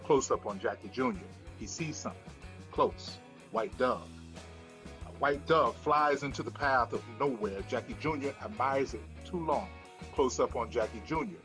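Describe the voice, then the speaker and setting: A man's voice: medium pitch, moderate pace, a bit bright, faint music behind. A man narrating the stage directions of a screenplay at a table read.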